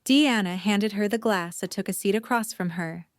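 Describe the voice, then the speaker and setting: A woman's voice: medium pitch, moderate pace, dry recording. A woman reading scripted story narration for an audio drama.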